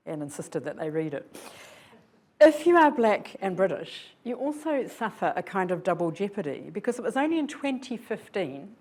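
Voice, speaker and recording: Woman in her later years, from New Zealand, earnest lecture, reflective tone, somewhat low voice. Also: darkish tone. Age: older. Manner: earnest, reflective, uses rhetorical questions. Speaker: guest lecturer. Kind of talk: public lecture. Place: lecture hall.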